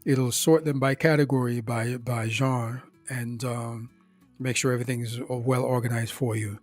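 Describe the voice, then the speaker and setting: A slightly hoarse man casually running through his startup projects, speaking in a halting way with many fillers and restarts. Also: medium pitch, steady tone, darkish tone, faint music behind. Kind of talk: casual spoken rundown of projects.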